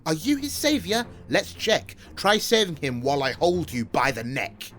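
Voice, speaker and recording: An actor playing a boastful, bullying hero, delivering rhyming lines in a taunting, pompous, theatrical manner. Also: male voice, fairly high pitch, very animated, moderate pace, fairly bright tone, faint music behind. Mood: threatening, boastful. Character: bullying, boastful fictional hero man turned on his author. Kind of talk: rhyming scripted audio drama.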